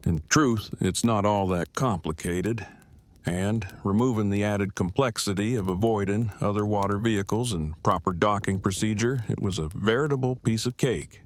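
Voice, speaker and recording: A man's voice: on the low side, normal speed, natural voice. A man playing an earnest, bookish husband in an audio drama, speaking in formal, precise, wordy phrases. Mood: matter-of-fact. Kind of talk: fan audio drama acting.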